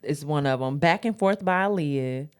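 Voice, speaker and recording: Playful low-voiced woman podcast co-host. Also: moderate pace, a little mellow, clear, resonant tone. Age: 30s. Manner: playful, breaks into snatches of song. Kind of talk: casual chat.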